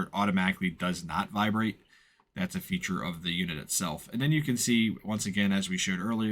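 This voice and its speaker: Low-pitched man giving a tutorial. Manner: informal, explanatory, matter-of-fact. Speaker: instructor, software tutorial presenter. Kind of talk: unscripted step-by-step screen tutorial.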